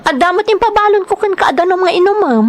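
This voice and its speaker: Actress as a nagging, caring Ilocano wife. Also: female voice, very high-pitched, expressive, fast talker. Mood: exasperated. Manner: nagging, exasperated but caring. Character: worried, scolding wife of a construction laborer. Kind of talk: Ilocano radio-style drama acting.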